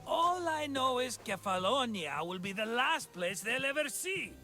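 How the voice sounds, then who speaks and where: A flattering, wheedling Greek schemer from a video game. His voice is high and bright with a theatrical Greek accent, leaping up and down as he persuades. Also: unhurried, faint music behind, exaggerated voice. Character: excitable, scheming, flattering male Greek hustler.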